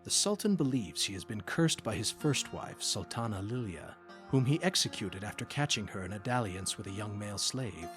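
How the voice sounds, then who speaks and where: A man's voice: lowish voice, lively intonation, normal speed, fairly bright tone. A man narrating a radio drama in a scripted, dramatic storytelling style. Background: music under the voice.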